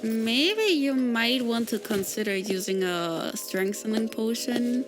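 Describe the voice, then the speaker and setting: Lady doing a grumpy sarcastic guild master, high voice, slow and draggy, music in the back. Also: lively intonation. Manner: dry, sarcastic and unimpressed. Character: grumpy, sarcastic young guild master who sells potions. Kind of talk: scripted voice drama. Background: background music.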